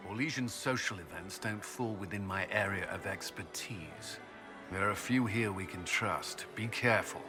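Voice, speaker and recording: An actor playing a stern but socially awkward military commander in a video game, speaking in a clipped, earnest way. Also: male voice, lowish voice, normal speed, close to an everyday voice. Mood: businesslike. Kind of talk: video game dialogue acting. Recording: clear music behind the voice.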